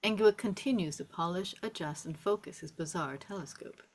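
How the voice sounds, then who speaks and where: A woman with a somewhat low voice narrates a screenplay. She reads scene descriptions and stage directions aloud as the narrator of a table read. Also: brisk. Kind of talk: scripted narration.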